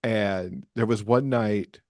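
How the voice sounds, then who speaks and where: A man with a bass voice chatting casually as a podcast guest, talking about himself in a self-deprecating way. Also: takes it slowly, a little mellow, no room sound, studio quality. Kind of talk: casual chat.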